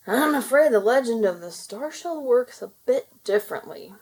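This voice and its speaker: Woman reading a kindly, measured merman king. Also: a little high, lively intonation, darkish tone, breathy edge, pitched above the performer's own voice, poor audio. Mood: kindly, explaining. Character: kindly, wise merman king, middle-aged father.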